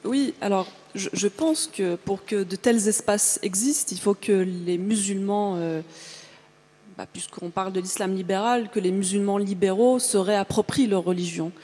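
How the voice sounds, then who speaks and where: A woman with a mid-pitched voice answers as a guest author on a panel. Long hall reverb surrounds her spontaneous speech. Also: moderate pace, dark tone, slightly rough.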